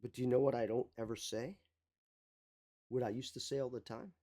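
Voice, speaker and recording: A mindset coach giving an emphatic motivational talk, repeating key phrases, with a slight cough. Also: male voice, mid-range voice, steady tone, fairly quick, dark tone.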